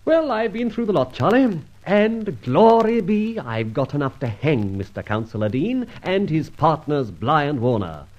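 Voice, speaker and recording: An actor playing a suave, flippant gentleman highwayman in an old radio adventure serial, urbane and mock-formal. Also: very animated, measured pace, hardly any pauses. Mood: brisk, pleased. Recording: dull old radio transcription recording.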